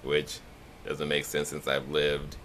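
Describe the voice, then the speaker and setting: A young man from the Chicago area with a Chicago accent, talking in a nasal, monotone way for a casual accent challenge video. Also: extremely low pitch, slow, slight background noise. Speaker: vlogger.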